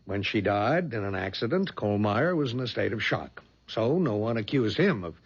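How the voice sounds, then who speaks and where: An actor playing a brisk, businesslike head of a detective agency in an American radio drama, with a husky voice and an American accent. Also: male voice, fairly low pitch, big pitch swings, heavily acted. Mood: matter-of-fact recounting. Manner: businesslike, explanatory. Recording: dull lo-fi 1970s radio recording.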